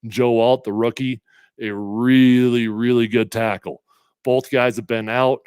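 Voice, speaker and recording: A middle-aged man hosting casual sports talk, a little congested, energetic and emphatic as he reads comments and makes predictions. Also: medium pitch, unhurried, clear, resonant tone, no room sound, clean studio sound. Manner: energetic, conversational, emphatic with fillers. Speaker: sports radio host previewing a game.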